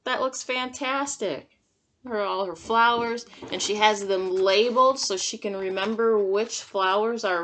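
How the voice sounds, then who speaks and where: Lady teacher doing a storytime read aloud, really slow, kinda high voice, encouraging little-kid talk. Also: fairly bright tone. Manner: encouraging, simple child-directed talk with questions. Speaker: kindergarten teacher. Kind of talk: read-aloud of a picture book with commentary for young children.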